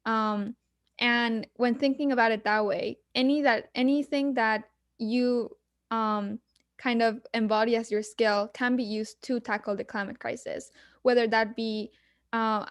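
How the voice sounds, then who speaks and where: A young woman speaks in an earnest, measured way, pausing often with little fillers. Her voice sits somewhat high. The sound is dry and close, with no room around it. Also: no room sound. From Mexico. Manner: earnest, measured, frequent ums. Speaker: guest climate activist. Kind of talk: panel discussion answers.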